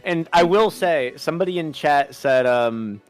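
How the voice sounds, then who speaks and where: A mid-pitched voice in casual livestream talk, emphatic and blunt. Music plays behind the conversation. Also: animated, measured pace. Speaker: fandub voice performer on a livestream. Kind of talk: casual chat. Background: background music.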